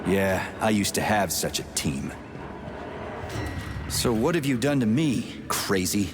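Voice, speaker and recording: A man playing an exasperated, gruff soldier hero in a video game, speaking bluntly and sarcastically. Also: fairly low pitch, very animated, slow pace, noisy background. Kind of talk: video game character acting.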